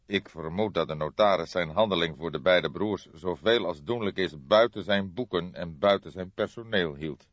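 Man voicing an older male police detective, audiobook character, low pitch, calm, measured, soft-spoken, shrewd, dry recording. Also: no echo, muffled recording. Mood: matter-of-fact.

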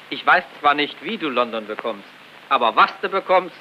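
An actor playing a preachy, mocking model citizen in an old cinema propaganda comedy sketch. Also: male voice, fairly high pitch, expressive, normal speed, lightly acted. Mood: mocking, scolding. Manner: lecturing, mocking, emphatic. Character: model loyal citizen, a preachy know-it-all straight man. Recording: muffled, noisy 1940 film soundtrack.

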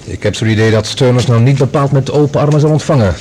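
An actor playing a keen, respectful subordinate officer in a science fiction radio drama. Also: male voice, medium pitch, fast, warm tone, slight background noise, close to an everyday voice. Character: keen, dutiful male subordinate to a general.

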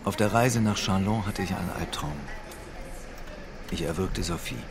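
Deep, gravelly, melancholic homeless drifter. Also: male voice, normal speed, noisy background. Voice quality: low, gravelly. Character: Rico, homeless man, weary, melancholic, brooding over lost family. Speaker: narrator and protagonist. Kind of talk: radio drama first-person narration and dialogue.

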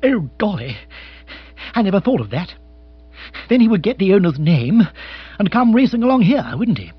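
A man performs a young upper-class lord in a comic storybook, all nerves and petulance. His voice is pitched up and swings about excitedly, full of flustered exclamations and posh slang. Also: normal speed, breathy edge, no room sound, highs cut off, soft background music, exaggerated voice. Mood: agitated, panicky. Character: agitated, petulant young English lord in a panic.

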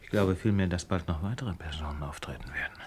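Actor as cool wry detective guy, really deep voice, unruffled, radio play, noisy track. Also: fast, close to an everyday voice. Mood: hushed, wry. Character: cool, wry, self-assured male detective on a burglary. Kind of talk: radio drama acting.

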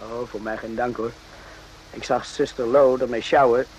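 A man's voice: medium pitch, fairly even intonation, normal speed, a little mellow, close to an everyday voice. A man playing a smiling, obliging orderly in a radio play, hesitant and ingratiating in manner. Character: smiling, obliging male orderly serving tea, falsely modest. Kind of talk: radio drama acting. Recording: noisy old radio play recording.

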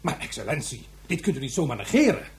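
A man's voice: medium pitch, big pitch swings, quick pace. An actor playing a stubborn, earnest male professor in a science fiction radio drama, arguing precisely and insistently, with some pedantry. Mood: earnest pleading.

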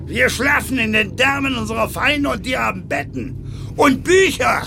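A jeering wartime soldier, coarse and cynical, with a high, gravelly voice. He mocks whoever he talks to in this radio play, over the loud drone of a vehicle. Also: very animated, moderate pace, exaggerated voice. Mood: loud, mocking. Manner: mocking, coarse, laughing derisively. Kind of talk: radio drama acting. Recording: loud vehicle engine noise.

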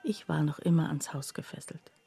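A woman narrating a literary radio play in the first person, in a measured, hushed, reflective way. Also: sits low, creaky, breathy edge, dry recording, exaggerated voice.

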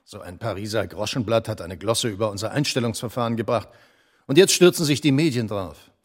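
Husky, condescending office boss. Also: male voice, on the low side, a little fast. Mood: irritated, smug. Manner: patronizing, clipped, formal. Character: officious, condescending French male department boss. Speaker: radio drama actor. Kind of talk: radio play dialogue.

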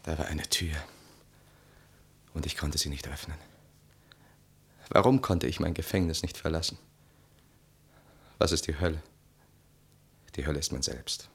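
An actor playing a resentful, self-absorbed, brooding husband in a German literary radio play, with an intense, articulate, theatrical delivery. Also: male voice, very deep voice, a little slow, creaky, pauses often, heavily acted. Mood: bleak, brooding.

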